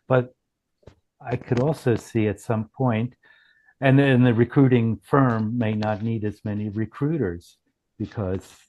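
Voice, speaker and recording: Guy explaining tech on a call, kinda halting, dark voice, muffled lo-fi sound. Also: on the low side. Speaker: company founder explaining his product. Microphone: online group call.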